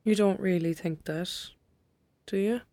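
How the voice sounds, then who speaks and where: A woman's voice: medium pitch, unhurried, warm tone, no echo, lightly acted. A woman with an Irish accent playing a cheeky, protective young best friend in a naturalistic audio drama, youthful, colloquial and emphatic. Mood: concerned, coaxing.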